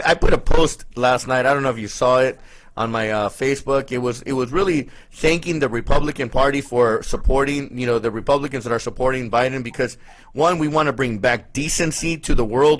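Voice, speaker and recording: A man's voice: medium pitch, a bit bright. Casual, effusive male talk show host. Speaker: live show host interviewing a guest. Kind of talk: casual conversational interview.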